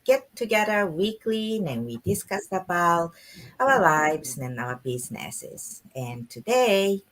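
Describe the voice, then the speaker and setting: Lively woman host. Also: fairly low pitch, slow. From Japan. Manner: animated, chatty, laughs often. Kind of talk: live online business chat.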